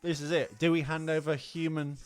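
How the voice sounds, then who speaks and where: Guy doing a silly film podcast, voice a bit high, rambles and jokes crudely. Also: little pitch movement, fairly quick, faint music behind. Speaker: podcast host. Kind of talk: casual comedic film podcast chat.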